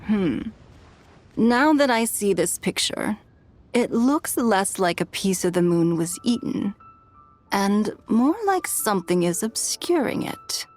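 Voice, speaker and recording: An actress playing a thoughtful young traveler in a video game, with a musing, matter-of-fact delivery. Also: female voice, mid-range voice, expressive, slow, a little mellow. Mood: pensive. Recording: music under the voice.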